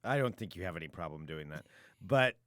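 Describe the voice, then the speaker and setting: A man's voice: low voice, expressive, fairly quick, a bit bright, dry recording. Teasing young man host. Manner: teasing, laughs often. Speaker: radio show host. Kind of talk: casual podcast banter.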